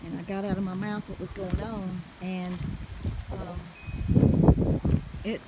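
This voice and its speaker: A woman with a Southern US accent telling a story casually in a folksy, animated way, recorded on a handheld phone outdoors. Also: medium pitch, slow pace, very noisy. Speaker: homestead vlogger. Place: outdoors in a wooded rural yard.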